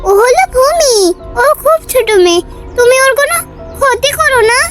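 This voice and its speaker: A woman's voice: very high voice, moderate pace, loud music behind the voice. A woman voicing a small, earnest, protective youngster in a fairy tale cartoon. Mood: pleading, defiant.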